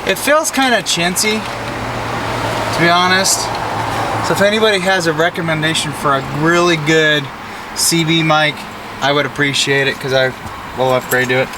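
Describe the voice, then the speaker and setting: A man's voice: on the high side, steady tone, normal speed, pauses often. A young American man chatting casually and in laid-back slang as he works on his truck, recorded inside the cab by a camera a little way off. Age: young adult. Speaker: vlogger doing a truck DIY install. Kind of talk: casual vlog chat while working. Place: inside a parked pickup truck cab, door open. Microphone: camera mounted an arm's length or more away. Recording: clear outdoor road noise. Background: road and vehicle noise.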